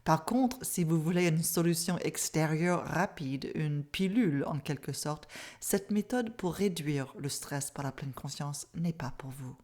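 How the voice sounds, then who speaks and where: Calm canadian lady w english accent teaching mindfulness, low voice, slow and gentle. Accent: English-speaking Canadian. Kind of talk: online masterclass presentation.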